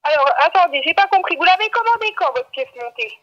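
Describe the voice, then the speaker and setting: A woman working as a shop employee, handling a customer call in a brisk, firm, questioning way. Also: extremely high pitch, expressive, fairly bright tone. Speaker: bakery employee. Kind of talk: unscripted customer phone call. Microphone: telephone. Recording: dull, band-limited phone line.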